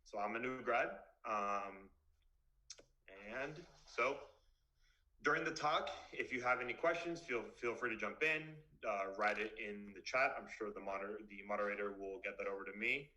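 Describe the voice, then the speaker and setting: A young man in his twenties, a dentist from Miami, talks to students over a computer mic on a video call. His voice is bright and forceful. He is blunt and straightforward, with plenty of casual fillers. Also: fairly low pitch, normal speed, low-quality recording. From Miami, Florida. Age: 20s. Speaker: dentist giving a talk. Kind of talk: online presentation to students.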